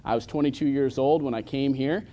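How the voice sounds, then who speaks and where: Halting, earnest pastor in his thirties. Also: medium pitch, warm tone. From Michigan, USA. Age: 30s. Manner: earnest, halting, with many filler sounds. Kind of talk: church sermon and prayer.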